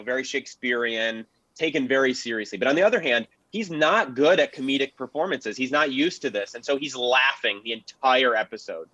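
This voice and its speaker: A man's voice: medium pitch, a bit bright, dry recording. A man speaking as a history panelist in an enthusiastic, explanatory way during a discussion. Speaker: panelist, film and radio historian. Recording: dull lo-fi audio, dry.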